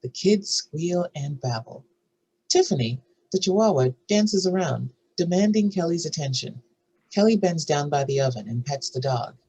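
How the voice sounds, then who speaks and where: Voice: mid-range voice, expressive, moderate pace, a little mellow, slightly rough, rough recording. A narrator reading out scene headings and stage directions at a screenplay table read.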